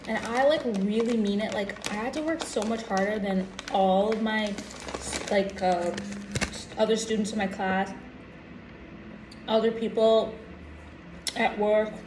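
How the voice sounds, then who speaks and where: Slow-talking American woman in an echoey room. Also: medium pitch. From United States. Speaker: vlogger. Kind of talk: casual chat to camera. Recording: roomy echo with background noise.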